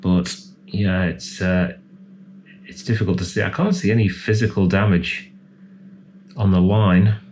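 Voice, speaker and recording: A man's voice: low voice, steady tone, unhurried, long pauses, a little mellow, slight background noise. A man giving casual, hands-on repair commentary as a technician, thinking aloud in a tentative way. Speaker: electronics repair technician presenting a repair.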